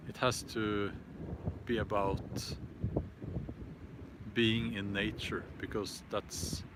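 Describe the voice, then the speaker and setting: Norwegian-accented male host, unhurried and halting, mid-pitched, noisy recording. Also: flat delivery. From Norway. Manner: hesitant, halting, many fillers and restarts. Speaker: host answering viewer comments. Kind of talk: casual live chat.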